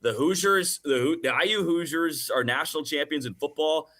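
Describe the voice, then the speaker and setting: Bright-voiced man talking sports. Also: on the high side, normal speed. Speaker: sports radio guest, hockey play-by-play announcer. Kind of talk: casual sports radio interview.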